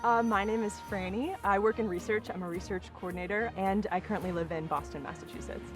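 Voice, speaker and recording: An American woman with a buzzy, excitable way of talking in a casual contestant interview. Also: medium pitch, fast talker. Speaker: reality show contestant. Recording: loud music bed. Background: music.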